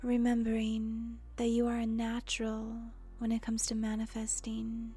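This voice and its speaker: A woman leading a guided meditation in a soft, breathy, soothing voice that often trails into a near-whisper, deliberate and gentle with pauses. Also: fairly high pitch, completely flat delivery. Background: soft music.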